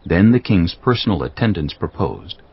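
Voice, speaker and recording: A man's voice: low voice, very animated, normal speed, slight background noise. A man giving stately, storytelling narration of a scripted dramatized audiobook. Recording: slightly dull audio over music. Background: prominent background music.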